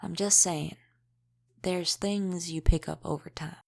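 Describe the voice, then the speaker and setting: A woman playing a centuries-old, world-weary female vampire in an audio roleplay, gruffly protective, speaking in an unhurried, wry, folksy way with dropped g's. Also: on the low side, steady tone, darkish tone. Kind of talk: intimate audio roleplay acting.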